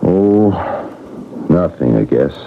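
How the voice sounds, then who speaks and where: Deep-voiced wistful railroad man. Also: very animated, slow pace, gravelly, a little hiss or hum, full character voice. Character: wistful, talkative male railroad man, homesick for his wife. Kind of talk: radio mystery drama acting. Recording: narrowband old radio broadcast with music.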